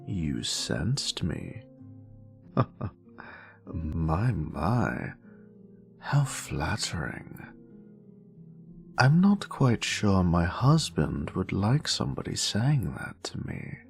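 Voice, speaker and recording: Casting: grumpy, haughty British male wizard played by a male actor for audio roleplay; low, creaky voice, sardonic and theatrical. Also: very animated, drawn-out words, pauses often, soft background music, heavily acted. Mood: intrigued, amused. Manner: theatrical, haughty, drawling, dry sarcasm. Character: grumpy, sassy male wizard, exiled former professor. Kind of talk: scripted character monologue, audio roleplay.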